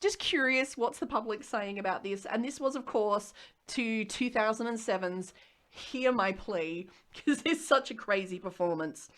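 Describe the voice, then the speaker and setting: An Australian woman co-hosting a podcast in casual chat, with an animated, expressive manner. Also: medium pitch, unhurried. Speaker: podcast co-host.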